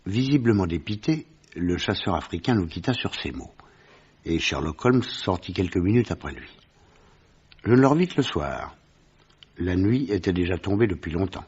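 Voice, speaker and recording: An actor playing a sober doctor who narrates a detective case in a measured, literary French radio drama style. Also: male voice, deep voice, expressive, a little mellow, slightly rough. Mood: sober, narrative. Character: sober male doctor recounting his detective friend's case. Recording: dull, muffled vintage radio recording.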